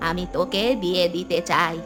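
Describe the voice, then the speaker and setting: A woman voicing an old village great-aunt, plaintive but generous, with a drawn-out delivery in a cartoon dub. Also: lowish voice, level tone, fairly bright tone, soft background music, lightly acted. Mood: earnest. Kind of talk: Bengali cartoon dubbing.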